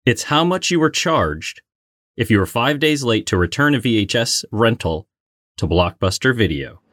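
A man's voice: mid-range voice, big pitch swings, moderate pace, fairly bright tone, no echo, clean studio sound. A man co-hosting a casual, playful quiz with banter. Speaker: co-host and quiz player.

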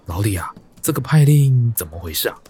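A man's voice: mid-range voice, lively intonation, a little fast, mellow, slightly rough. An actor with a Taiwanese Mandarin accent playing an insistent, pragmatic older father who meddles, in a radio drama. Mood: displeased, pressing.

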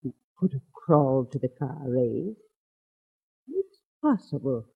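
An actor playing an earnest doctor and family friend who speaks carefully and gravely in 1940s radio drama. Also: male voice, medium pitch, sing-song, slow pace, full character voice. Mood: careful explaining. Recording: muffled old 1940s radio recording.

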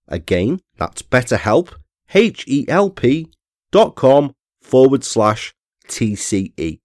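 Croaky British man hosting a podcast. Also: medium pitch, very animated, slow pace, a little mellow, dry recording, clean studio sound. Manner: chatty, friendly asides to listeners. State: croaky from a cold. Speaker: podcast host. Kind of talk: scripted podcast narration and ad read.

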